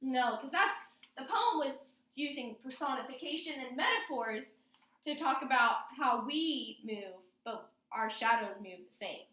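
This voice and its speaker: A woman teaches a class in a high, bright voice, guiding it with prompting questions and poetry read aloud. The room around her echoes noticeably. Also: expressive, measured pace. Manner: instructing, asks prompting questions. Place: indoor classroom. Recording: roomy, echoing classroom sound.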